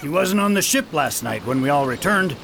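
Guy doing a rough wary pirate crewman, gravelly and high, radio play, noisy. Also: lively intonation, a little fast, a bit bright. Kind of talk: audio drama acting.

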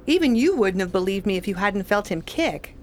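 An actress playing a young expectant wife, earnest and fretful with a whimsical streak, in a radio play. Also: female voice, medium pitch, animated, a little fast, darkish tone, lightly acted. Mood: matter-of-fact. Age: young adult. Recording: faint background noise.

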